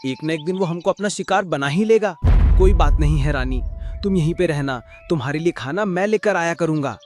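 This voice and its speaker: A man's voice: fairly high pitch, a little fast, music in the background, close to an everyday voice. A man voicing a clever, loyal male donkey in a cartoon story, earnest and crafty. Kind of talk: cartoon voice acting.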